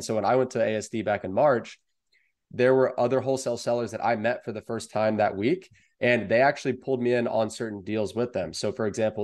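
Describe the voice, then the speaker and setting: A man's voice: fairly low pitch, darkish tone. A young American man giving explanatory advice as an interview guest on a video call. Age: young adult. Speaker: guest, online wholesale seller. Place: indoor room at home, video call.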